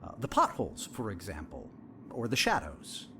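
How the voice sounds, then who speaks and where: Man acting as a smug, wildly expressive sinister therapist. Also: fairly low pitch, slight background noise, full character voice. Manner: measured, theatrical, condescending, falsely soothing. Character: sinister therapist of a horror town, smug and probing. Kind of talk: audio drama acting.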